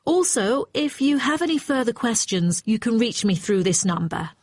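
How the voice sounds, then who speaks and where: A woman's voice: on the high side, big pitch swings, normal speed, no echo, studio quality. A woman playing a helpful speaker giving contact details in a scripted exam dialogue, polite and careful.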